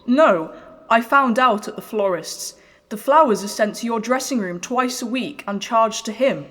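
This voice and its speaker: Audio drama casting: a jealous young wife, earnest and plaintive, fairly high voice, hall-like reverb. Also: female voice, normal speed, a bit bright. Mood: hurt, accusing. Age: young adult. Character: aggrieved, pleading, jealous young lawyer's wife.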